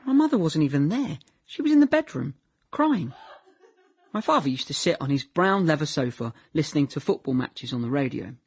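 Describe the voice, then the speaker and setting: An actor playing a naive young man in a radio drama monologue, recalling his childhood in a deadpan, chatty way, often correcting himself. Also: on the high side, a little fast, breathy edge, no room sound, clean studio sound, full character voice. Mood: wry, animated. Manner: deadpan, chatty, self-correcting, trailing into "yeah".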